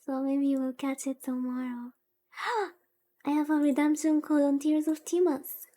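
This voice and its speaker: High-voiced woman streamer, slow and playfully dramatic. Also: steady tone, dark tone. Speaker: VTuber streamer, host. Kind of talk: casual livestream chat while playing a visual novel. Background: background music.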